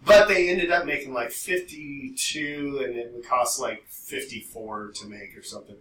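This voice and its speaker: A man co-hosting a podcast in casual, rambling chat, telling things in an animated way and swearing freely. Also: mid-range voice, moderate pace. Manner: animated storytelling, frequent swearing. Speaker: podcast co-host. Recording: roomy echo, distant mic, faint noise.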